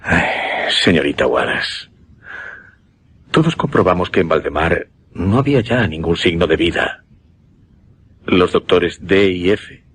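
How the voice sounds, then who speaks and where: An actor with a Castilian Spanish accent playing a grave, horrified gentleman who recounts a ghastly death in a dramatic, halting way for a suspense radio play. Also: sits low, a little hiss or hum. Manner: dramatic, halting, dwelling on each dreadful word.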